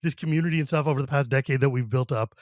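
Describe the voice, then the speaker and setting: A man's voice: medium pitch, rapid-fire, a little mellow, dry recording, studio quality. A middle-aged man hosting a podcast in casual chat, rambling, restarting and laughing often. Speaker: podcast host.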